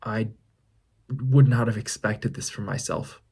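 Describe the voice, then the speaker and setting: A man in his twenties talking through his thoughts in a casual vlog, musing with pauses and restarts. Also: fairly low pitch, steady tone, vocal fry, a little mellow, breathy edge. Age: 20s. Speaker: fitness vlogger. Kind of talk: reflective casual vlog monologue.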